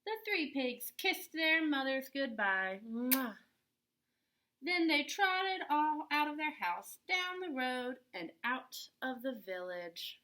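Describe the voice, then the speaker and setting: A woman reading a children's story aloud in an animated, deliberate way with dramatic emphasis. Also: sits high. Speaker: children's story reader, teacher-style host. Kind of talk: expressive storybook read-aloud for young children.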